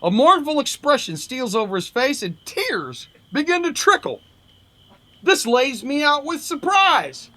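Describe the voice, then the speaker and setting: A man's voice: very high voice, sing-song, unhurried, bright, forceful tone, voice pushed up, exaggerated voice. A man reading a pulp humor story as a brash, wisecracking old-time boxing manager, punchy and declamatory. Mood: brash, bemused.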